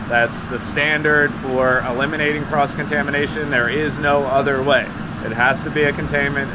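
A young man in his twenties, an operations manager, walking through a basement job on a handheld phone, explaining with many fillers. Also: medium pitch. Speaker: operations manager of a remediation company. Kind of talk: casual work walkthrough vlog. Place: basement under renovation, indoors. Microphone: phone held at arm's length. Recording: loud machine noise in basement. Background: loud air scrubber machine hum.

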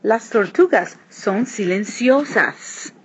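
A woman reading a children's picture book aloud, switching between hushed and emphatic delivery. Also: medium pitch, lively intonation, takes it slowly. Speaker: read-aloud narrator. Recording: dull lo-fi recording, clear background noise.

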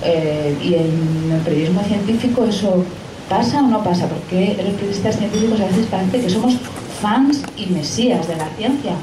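A woman's voice: medium pitch, normal speed, far from the mic. A woman with a Spain Spanish accent making a comment and putting a question from the audience at a live talk. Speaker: audience questioner, science journalist. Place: pub event room, indoors. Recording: echoey room from afar, clear background noise.

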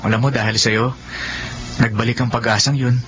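A man's voice: medium pitch, steady tone, a bit bright, natural voice. Man acting an earnest young suitor, muffled audio. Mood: earnest confessing. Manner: heartfelt, halting delivery. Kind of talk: Filipino radio romance drama acting. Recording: muffled radio drama audio with music.

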